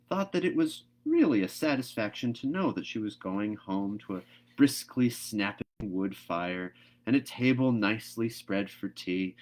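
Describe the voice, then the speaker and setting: A man reading a novel aloud as narrator, in an expressive storytelling style. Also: sits low.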